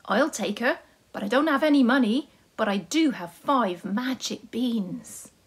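Woman reading as a husky old trader man. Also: a little high, animated, unhurried. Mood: bargaining. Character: old man bartering magic beans at the roadside.

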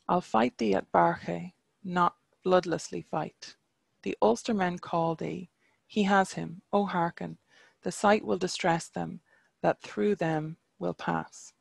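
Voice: mid-range voice, gravelly, dry recording, full character voice. A reader voicing a proud, scornful male warrior champion, reciting archaic taunting verse.

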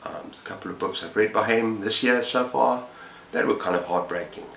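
Low-voiced middle-aged man, roomy sound. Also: fairly quick, vocal fry, a bit bright. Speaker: book reviewer and channel host. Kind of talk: casual chat to camera about books. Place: small indoor room with bookshelves. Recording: roomy echo, faint background noise.

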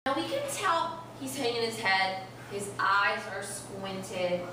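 A young woman teaching an interactive read-aloud to young children, expressive and instructive, stressing key words slowly. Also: mid-range voice. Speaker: primary school teacher. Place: elementary classroom, indoors. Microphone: far camera. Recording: roomy, noisy classroom, distant camera microphone.

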